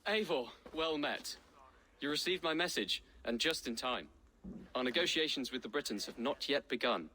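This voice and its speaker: A man's voice: medium pitch, expressive, normal speed, bright, forceful tone. An actor playing an earnest, anxious young nobleman in a video game, courteous and a little hesitant. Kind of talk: video game character acting. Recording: music behind the voice.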